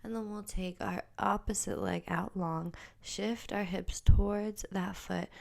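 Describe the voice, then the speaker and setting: A woman instructor guiding a yoga class with a soft, breathy, gentle delivery. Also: mid-range voice, fairly even intonation, a little slow.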